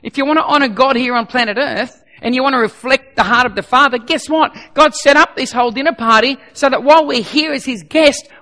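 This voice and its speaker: A middle-aged pastor with a high, bright voice that has gone a little croaky. He preaches emphatically, hammering home repeated lines and then easing into quiet prayer. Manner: emphatic, swings from loud repeated exhortations to soft prayer. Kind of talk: sermon.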